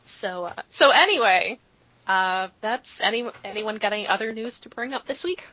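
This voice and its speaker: A woman's voice: mid-range voice, lively intonation, normal speed, bright, forceful tone. A woman hosting a podcast in casual chat, rambling and chatty, with plenty of fillers while recapping. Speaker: podcast host. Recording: dull lo-fi podcast audio.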